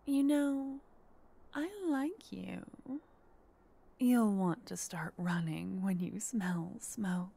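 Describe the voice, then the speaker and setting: A woman playing an unhinged, flirtatious yandere arsonist in an ASMR roleplay, teasing and conspiratorial in a breathy half-whisper. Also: mid-range voice, drawn-out words, mellow, heavily acted. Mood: conspiratorial, menacing.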